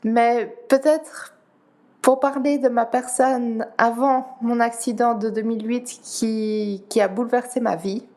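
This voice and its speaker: Slow, halting young woman with high voice. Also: fairly even intonation, darkish tone. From Geneva, Switzerland. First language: Dutch. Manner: slow, halting, many pauses and repeated words. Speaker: guest, para-athlete. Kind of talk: interview answers.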